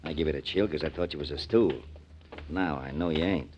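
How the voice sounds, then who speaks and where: A streetwise, needling tough-guy prisoner in old radio crime drama. His low voice taunts in coarse slang and simmers with resentment, over the light noise of a 1940s recording. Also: a little fast, darkish tone, dull audio.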